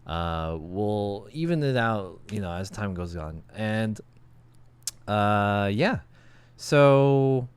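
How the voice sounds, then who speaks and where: A man in his thirties with a low, deep voice, chatting loosely as the host of a streamed podcast. His tone is smooth and resonant. He rambles, restarts and fills gaps with ums and likes. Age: 30s. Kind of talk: casual podcast chat.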